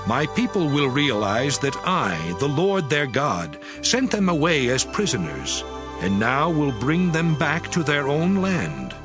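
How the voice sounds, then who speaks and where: An actor playing the Lord God as a stern, solemn divine judge, declaiming in a measured way in an audio scripture drama. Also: male voice, on the high side, big pitch swings. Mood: solemn, merciful. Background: music behind the voice.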